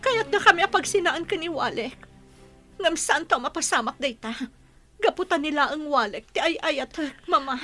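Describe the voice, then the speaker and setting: Casting: emotional young Ilocano Filipina, high bright voice, earnest radio-drama daughter. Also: female voice, lively intonation, normal speed, faint music behind. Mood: distressed. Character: soft-hearted young woman, wronged, emotional daughter in love.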